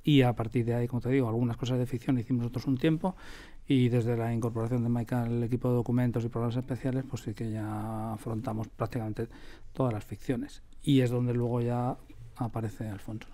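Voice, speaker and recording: A man's voice: medium pitch, flat delivery, fairly quick, mellow. A middle-aged man with a Castilian Spanish accent, telling stories conversationally as a studio interview guest. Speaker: radio drama director, interview guest. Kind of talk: conversational storytelling. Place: radio studio.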